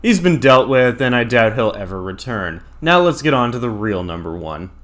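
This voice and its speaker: A man's voice: medium pitch, very animated, moderate pace. A man hosting a countdown, giving casual, opinionated scripted narration with crude, profane humor. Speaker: host of an entertainment countdown video.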